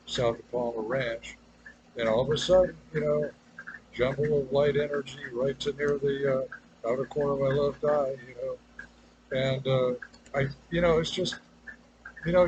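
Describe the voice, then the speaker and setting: A man giving an unscripted, rambling personal monologue in a halting, hesitant way, trailing off and circling back to his point. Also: medium pitch. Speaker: personal video maker. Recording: clearly noisy ambient background.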